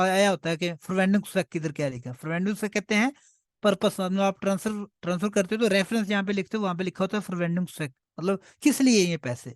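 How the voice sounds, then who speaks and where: A man's voice: sits high, dry recording, studio quality. Punjabi-speaking man teaching a class. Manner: patient, repeats "ठीक है" often. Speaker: German teacher. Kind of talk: online class teaching in Hindi-Urdu.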